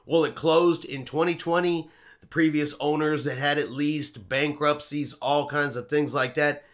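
A man's voice: on the high side, fairly even intonation, a little slow, a bit bright. A middle-aged man hosting a cruise news vlog, chatting upbeat and casual to the camera from a room at home. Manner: upbeat, chatty, talks with his hands. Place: home room with shelves and a wall map, indoors.

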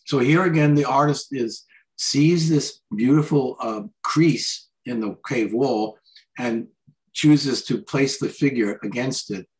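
An older man, an artist, talking informally and haltingly through slides of paintings on a video call. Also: mid-range voice, poor audio. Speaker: artist presenting his paintings. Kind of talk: informal slide commentary on art.

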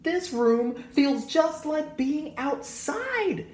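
A man voicing a gentle, earnest, anxious little boy from a storybook, speaking tentatively. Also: very high voice, very animated, drawn-out words, voice pushed up, exaggerated voice. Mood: bright, hesitant. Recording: roomy echo, audible background noise.

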